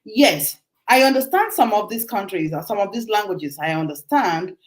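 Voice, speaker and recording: Middle-aged woman from nigeria chatting as a guest, lively, laughs a lot, keeps restarting words. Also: mid-range voice, normal speed. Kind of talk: casual interview talk.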